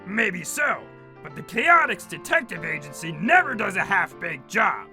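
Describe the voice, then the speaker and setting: A man's voice: high-pitched, big pitch swings, normal speed, bright, forceful tone, heavily acted. A man voicing a brash, bossy male crocodile detective in a fan comic dub. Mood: confident. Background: background music.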